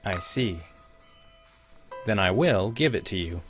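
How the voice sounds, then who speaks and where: A man's voice: fairly low pitch, animated, slow. A man playing a suave, calculating young student council president in an anime dub, formal and smugly polite. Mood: smug, composed. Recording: music behind the voice.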